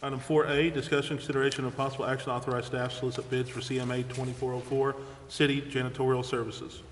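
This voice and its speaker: A man's voice: medium pitch, level tone, quick pace. A man presiding over a city council meeting, speaking in formal procedural style in a council chamber. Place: large indoor council chamber. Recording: echoey council chamber with clear background noise.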